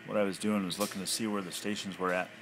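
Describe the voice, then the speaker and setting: A man's voice: sits low, level tone, fast. An American man giving a casual, off-the-cuff hobbyist demonstration, thinking aloud hesitantly. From United States. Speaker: amateur radio hobbyist. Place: indoors at a home radio desk. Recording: noisy handheld home recording.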